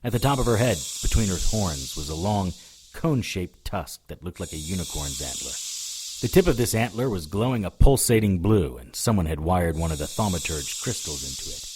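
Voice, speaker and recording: A man's voice: low voice, animated, a little fast, background noise. A man playing a determined, hard-boiled witch hunter, narrating an audio drama in the first person. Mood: tense, narrating. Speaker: lead character and narrator.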